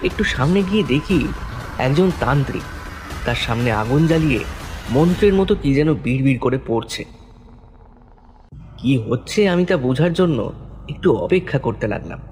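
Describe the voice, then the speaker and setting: Male storyteller, medium pitch, first-person horror narration, scripted, faint music underneath. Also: lively intonation, normal speed, long pauses, a little mellow.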